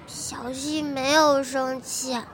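Casting: adult woman as sweet small girl for radio play; high, bright, childish, clingy and pleading. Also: little pitch movement, takes it slowly, some chatter behind, a little hiss or hum. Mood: sulky. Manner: pleading, simple childish phrasing. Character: sweet clingy little girl longing for a father. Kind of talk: Chinese audio drama acting.

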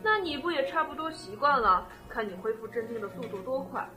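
A woman's voice: high-pitched, expressive, a little fast, pushed and bright. A woman acting a girlish, mischievous mother in an audio drama, sweet and childlike. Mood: breezy, pouty. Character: girlish, carefree, mischievous middle-aged mother. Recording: roomy echo, music behind.

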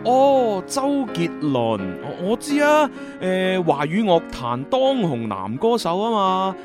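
A man's voice: sits high, huge pitch swings, slow, exaggerated voice. Man acting a flustered young agent, music behind. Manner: hesitant, stammering, covering slips awkwardly. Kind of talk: romantic radio drama dialogue.

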